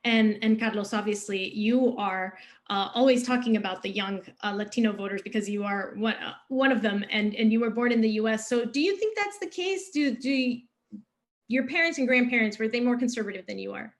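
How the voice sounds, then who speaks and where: A young adult woman moderating a panel discussion over a video call, asking questions clearly. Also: a little high, brisk.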